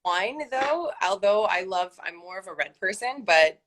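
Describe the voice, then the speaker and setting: A woman actress being interviewed in a casual chat, relaxed and rambling, laughing often. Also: moderate pace. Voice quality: calls her own voice deep. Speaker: guest actress.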